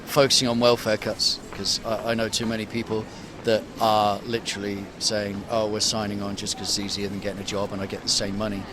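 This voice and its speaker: A man's voice: lowish voice, level tone, brisk, few pauses. A man in his thirties, a monk, answering a street interview in a hesitant way with many ums and restarts. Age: 30s. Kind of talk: street vox pop interview. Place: outdoors on a city street. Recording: outdoor street with loud traffic noise.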